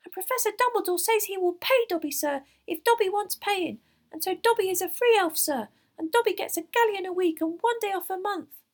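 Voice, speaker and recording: A woman voicing an excitable, eager little male house elf in an audiobook, squeaky and shrill, speaking deferentially with quirky grammar. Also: brisk. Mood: delighted, excited. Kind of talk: audiobook character voice.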